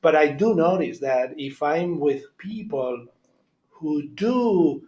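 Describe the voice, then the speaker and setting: A man from Italy, a native Italian speaker, who speaks English with a strong Italian accent and talks in a halting way, searching for his words in a discussion. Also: a little high, lively intonation, darkish tone. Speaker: discussion participant. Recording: echoey room, dull lo-fi sound.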